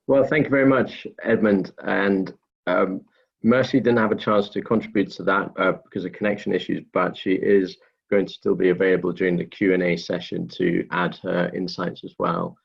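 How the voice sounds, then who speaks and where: A man's voice: low voice, little pitch movement, normal speed, creaky, breathy edge. A man moderating a webinar, handing over between presenters on an online call. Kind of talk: hosting a webinar. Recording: slightly dull online call audio.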